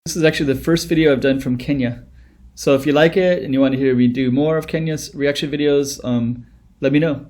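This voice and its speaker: A man in his thirties chatting into a close webcam at home, reacting to a music video. His voice sits at an ordinary middle pitch and his talk wanders, full of "um", "like" and false starts. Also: fairly even intonation, brisk, a little mellow. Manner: rambling, with many fillers and restarts. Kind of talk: casual reaction commentary.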